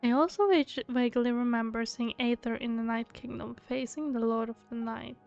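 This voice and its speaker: Clear, higher-pitched woman gamer. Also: fairly even intonation, normal speed, dull audio, faint music behind. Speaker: gaming streamer. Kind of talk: game playthrough, reading dialogue aloud with casual reactions.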